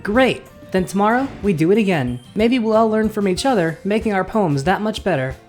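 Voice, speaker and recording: An actor playing a gentle, self-deprecating young male club president in a visual novel audio drama, soft-spoken and encouraging. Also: sits high, lively intonation, a little fast, soft background music. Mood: upbeat, encouraging.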